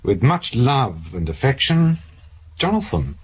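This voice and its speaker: A man's voice: mid-range voice, sing-song, a little slow, husky, heavily acted. A man playing a dry-witted, businesslike senior police investigator in a radio drama. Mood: dry, musing. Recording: muffled radio recording with faint noise.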